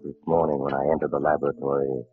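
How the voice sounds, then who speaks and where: An actor playing a sinister, courteous doctor who narrates a horror radio drama in a measured, ominous, theatrical way. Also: male voice, a bass voice, little pitch movement, husky. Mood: grave. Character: sinister, courteous doctor host reading a secret journal. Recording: muffled old radio recording with music.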